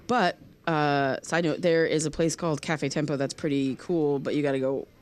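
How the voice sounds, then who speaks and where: A woman playing an awkward, guarded young loner in naturalistic audio drama, speaking in a hesitant, halting way. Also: low-pitched, fairly even intonation, close to an everyday voice. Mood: awkward, casual.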